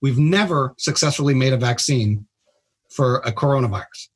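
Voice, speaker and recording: Husky, blunt-talking man. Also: medium pitch, normal speed. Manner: blunt, emphatic explainer. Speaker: trauma surgeon guest. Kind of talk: casual radio interview.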